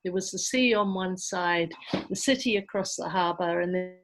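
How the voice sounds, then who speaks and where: Low-pitched, reflective New woman presenting. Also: normal speed, background noise. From New Zealand. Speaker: presenter from a community organisation. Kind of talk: informal presentation on an online call. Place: online video call.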